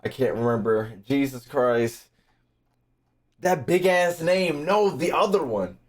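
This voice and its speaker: A man's voice: mid-range voice, lively intonation, slow pace. A young man giving casual, slangy live-stream commentary, swearing freely and repeating phrases as he thinks aloud. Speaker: streamer reacting to game voice lines.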